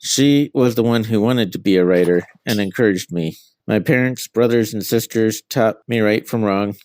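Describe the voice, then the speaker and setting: A man's voice: on the low side, moderate pace, a little mellow, dry recording, poor audio. A middle-aged man being interviewed as an author, giving answers about their own work.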